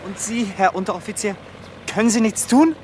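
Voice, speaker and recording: Earnest, higher-voiced young apprentice. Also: male voice, animated, fairly quick, darkish tone, slight background noise. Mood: indignant pleading. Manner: respectful, earnest. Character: earnest, eager poor teenage apprentice and inventor. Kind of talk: radio drama acting.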